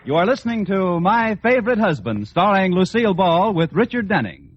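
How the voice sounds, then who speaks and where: A man's voice: high-pitched, very animated, brisk, heavy background noise. A man announcing a show in golden-age radio style. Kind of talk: 1940s-50s radio announcing. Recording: muffled old radio, loud music, crowd. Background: loud brass music and audience.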